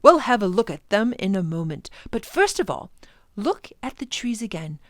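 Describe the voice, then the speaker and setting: A woman voicing an earnest, enthusiastic male professor in a children's storybook, urging listeners on with dramatic emphasis and hushed words. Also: mid-range voice, sing-song, normal speed, no room sound, clean studio sound, natural voice. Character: older male professor, passionate, earnest, wonder-filled teacher. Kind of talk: children's storybook read-aloud.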